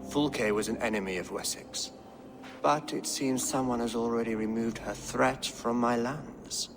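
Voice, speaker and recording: An actor playing a pious, calculating English king in a video game, with a formal, deliberate and composed delivery. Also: medium pitch, natural voice. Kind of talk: dramatic video game acting. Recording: noisy background ambience.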